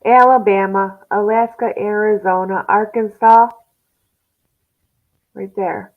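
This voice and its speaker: A woman's voice: mid-range voice, steady tone, slow pace, phone-quality sound. A woman in casual chat who recites lists from memory in an easygoing way.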